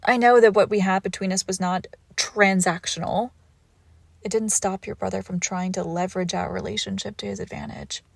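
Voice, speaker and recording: A woman playing a suave, controlled villainous ex-lover in an intimate audio roleplay, with measured formal phrasing and soft breathy pauses. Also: mid-range voice, fairly even intonation, dry recording. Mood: exasperated, protective. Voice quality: creaky, often dropping into whisper. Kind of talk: scripted romantic audio roleplay, intimate and slow.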